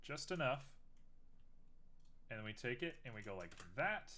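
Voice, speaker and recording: Young man, live streamer, casual chat, self-mocking, very lively pitch. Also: normal speed. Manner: chatty, self-mocking, thinks aloud while working.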